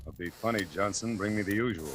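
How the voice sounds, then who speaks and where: A man's voice: fairly low pitch, fast talker, a bit bright, close to an everyday voice. An actor playing a demanding, menacing male stranger with a curt, domineering delivery in a 1940s radio drama. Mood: cold, demanding. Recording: noisy, hissy vintage radio broadcast.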